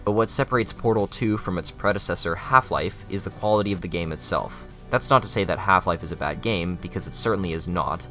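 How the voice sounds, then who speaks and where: A man's voice: deep voice, brisk, few pauses, pushed and bright, soft background music. A young adult man reading scripted narration for a video essay.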